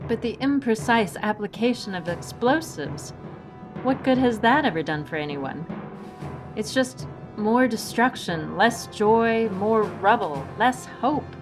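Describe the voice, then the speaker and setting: A woman's voice: a little high, lively intonation. Woman playing a composed, precise adventure heroine, music behind. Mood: earnest, persuasive. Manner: measured, precise, dry. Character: composed, principled, pedantic heroine who reasons with villains. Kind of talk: audio drama acting.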